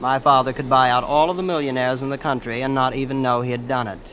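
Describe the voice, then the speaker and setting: A man's voice: medium pitch, steady tone, fast, a bit bright, lightly acted. An actor playing an aloof, rich prep-school young man who boasts coolly in a 1940s radio drama. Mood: smug. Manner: cool, matter-of-fact boasting. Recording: noisy vintage radio recording.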